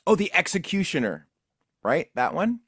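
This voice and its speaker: A man's voice: on the high side, very animated, moderate pace, fairly bright tone, husky, dry recording, clean studio sound. A male voice actor casually recalling past jobs in an interview, in an easygoing, rambling way. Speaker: voice actor guest.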